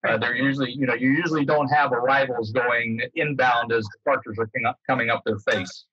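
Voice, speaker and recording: A man's voice: mid-range voice, steady tone, brisk, bright, forceful tone, husky. An older American man giving an informal explanation over a video call, rephrasing himself as he goes. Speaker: guest speaker, former air traffic controller. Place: indoors, book-lined home room. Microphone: computer mic on video call. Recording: dull, roomy, lo-fi video-call sound.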